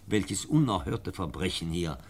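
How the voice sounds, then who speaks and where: A devoted schoolteacher in a radio drama. His low voice moves animatedly, earnest and polite, stumbling a little when flustered. A faint noise sits under the recording. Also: sing-song, measured pace, a little mellow, exaggerated voice. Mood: fervent, indignant. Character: devoted idealistic male schoolteacher professor, fervent, deferential, gentle. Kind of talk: German radio drama acting.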